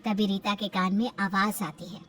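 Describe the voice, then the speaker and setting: A woman's voice: mid-range voice, little pitch movement, normal speed, a bit bright, far from the mic. A woman reading scripted narration for a moral story, as its storyteller.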